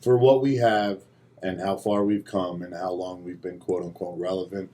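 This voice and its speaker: A man's voice: low voice, fairly even intonation, moderate pace, a crowd in the background. A man co-hosting a casual podcast, blunt and wisecracking, teasing his friends. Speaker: podcast co-host. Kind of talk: casual chat.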